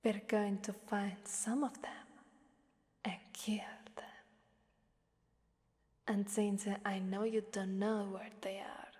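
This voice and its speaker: A woman from Italy playing a sadistic, playful female demon in a whispered ASMR roleplay, teasing and menacing in a soft, breathy voice. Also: mid-range voice, flat delivery, takes it slowly, long pauses, dry recording. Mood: coaxing, menacing. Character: sadistic, playful female demon mistress who relishes blood.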